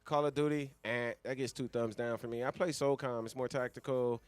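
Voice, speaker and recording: A man's voice: medium pitch, fairly even intonation, a little fast, clean tone, no room sound. A man hosting a radio show in casual, slangy talk, repeating his phrases for emphasis.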